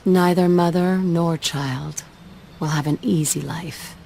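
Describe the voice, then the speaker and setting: A woman playing a composed, dutiful watcher, narrating a video game cutscene in a calm, reflective way. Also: lowish voice, slow pace. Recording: clear background noise behind the voice.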